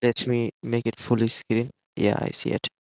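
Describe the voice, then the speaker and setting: A man from Bangladesh, a native Bengali speaker, calling in to debate. He talks in a flat, terse, impatient way. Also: mid-range voice, unhurried, vocal fry, dry recording. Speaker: caller. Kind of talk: call-in debate. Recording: muffled dry call line.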